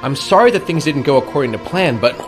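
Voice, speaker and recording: An actor playing a panicky, pleading subordinate in a video game cutscene, flustered and defensive. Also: male voice, on the high side, expressive, fast, natural voice. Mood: desperate. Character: panicky, pleading male subordinate making excuses. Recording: music laid under the voice.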